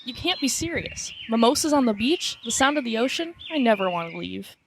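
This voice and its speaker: A woman's voice: on the high side, sing-song, a little fast, a little hiss or hum. A woman playing a wry, vacation-loving time-crimes officer in a radio drama parody.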